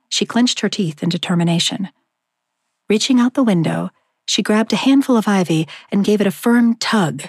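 Breathy woman narrating an audiobook. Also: medium pitch, a little mellow, dry recording, clean studio sound. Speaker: narrator reading a book excerpt.

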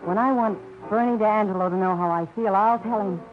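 An actress playing a cool, guarded dance instructor, curt and defensive, in 1940s radio drama style. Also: female voice, mid-range voice, fairly quick, over music, natural voice. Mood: cold. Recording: lo-fi vintage radio broadcast.

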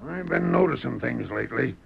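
An actor playing a crooked Old West sheriff in a radio western, threatening and blustering in a raspy Western American drawl. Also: male voice, medium pitch, animated, brisk, crowd noise. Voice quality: gravelly, raspy. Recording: dull, muffled old radio recording.

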